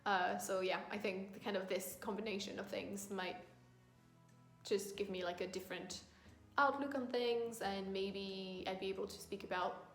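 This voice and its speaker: A woman with a French accent talks through a casual book-tag video, thoughtful and a little hesitant, often pausing to find her words. Her voice carries an echoey room sound, with faint music playing underneath. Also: mid-range voice, steady tone, fairly bright tone. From France. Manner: thoughtful, hesitant, many ums and restarts. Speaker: book vlogger.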